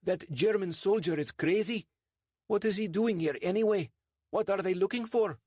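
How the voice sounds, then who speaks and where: A man voicing a nervous, excitable truck owner who pleads and protests in a raised voice. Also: high voice, moderate pace, no echo, voice pushed up. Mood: agitated.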